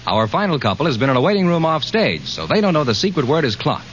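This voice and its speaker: A man's voice: a little high, very animated, quick pace, fairly bright tone. An American man announcing a quiz show in a polished 1940s radio style. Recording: muffled old radio recording, faint noise.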